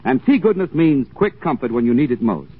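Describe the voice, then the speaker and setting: A man announcing a scripted 1940s radio advertisement as a polished sales pitch. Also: very animated, brisk, husky. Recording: tinny, thin 1940s radio broadcast.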